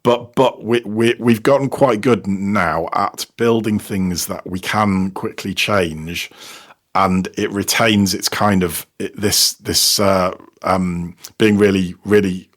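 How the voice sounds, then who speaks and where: A man's voice: fairly low pitch, fairly even intonation, takes it slowly. A man being interviewed as a sound designer, talking casually about his work and often repeating words as he searches for phrasing. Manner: hesitant, repeats words while explaining. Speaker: guest, sound designer and technical producer. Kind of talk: casual interview talk about audio production.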